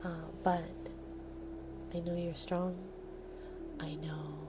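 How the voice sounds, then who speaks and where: Soft-spoken nasal woman, low and whispery. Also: flat delivery, slow, noisy background. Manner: soft, drifting into breathy whispers between chatty asides. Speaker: livestream host. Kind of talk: casual late-night stream chat with soft whispering. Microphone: streaming microphone close up.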